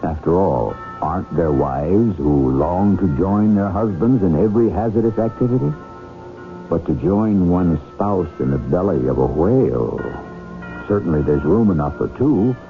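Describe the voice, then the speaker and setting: A man with a deep voice narrates a vintage radio drama, theatrical and wry, with lively swings of pitch. Music plays behind him in a tinny broadcast recording. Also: normal speed. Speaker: host and narrator.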